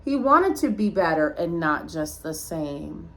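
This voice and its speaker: A woman's voice: deep voice, unhurried, a little hiss or hum. A woman reading a rhyming picture book aloud like a teacher, expressively, with small asides to young listeners. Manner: expressive storytelling, addressing children.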